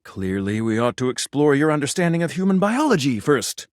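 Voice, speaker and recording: A man's voice: mid-range voice, big pitch swings, a little fast, dry recording, studio quality, close to an everyday voice. An actor playing a pompous, flamboyant male mascot with grandiose, theatrical diction, a snobbish connoisseur in a video game. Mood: musing, snobbish. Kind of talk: video game character acting.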